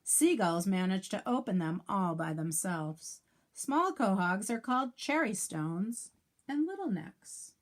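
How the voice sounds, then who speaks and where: A woman reading a children's picture book aloud like a teacher, sing-song and clearly enunciated, with asides and questions for young listeners. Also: mid-range voice, big pitch swings, a little slow.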